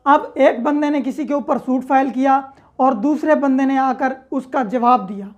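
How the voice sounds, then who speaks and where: A woman's voice: sits high, measured pace, lo-fi sound. A woman with a Pakistani accent giving an explanatory law lecture as a teacher, moving between reading aloud and simple explanation. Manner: reads clauses aloud, then explains with simple examples.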